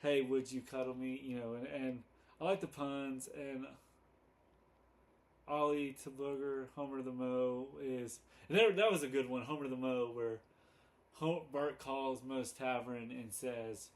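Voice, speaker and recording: A man hosting a casual, unscripted fan commentary video, halting and rambling as he goes, often correcting himself. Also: medium pitch, steady tone. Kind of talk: casual unscripted chat to camera. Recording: noticeable background noise.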